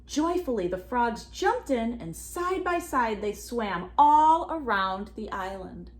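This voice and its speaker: A woman reading a storybook aloud expressively to children, pausing for asides and questions to her young listeners. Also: on the high side. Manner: animated, addresses children with questions. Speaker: children's read-aloud reader.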